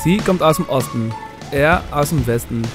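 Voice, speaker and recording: A man's voice: on the high side, normal speed, music in the background. A man from Belgium with a Belgian accent, hosting and narrating a travel documentary series in a scripted first-person style.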